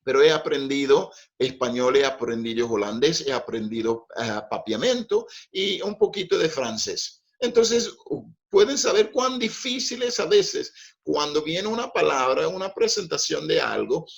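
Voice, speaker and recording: A man's voice: a little high, expressive, creaky. A middle-aged man, a native English speaker, preaching a Bible study in a careful, teaching manner with frequent checks of "ok?". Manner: slow, deliberate teaching with frequent "ok?" and "pues".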